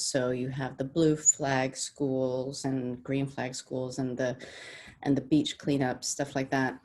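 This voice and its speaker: A woman's voice: extremely low pitch, a little mellow, a little hiss or hum. A woman with an American accent, a panelist on a video call, chatting casually about her career with many fillers and frequent laughs. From half Danish, half Swedish, raised partly in the US. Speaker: panelist, business development director.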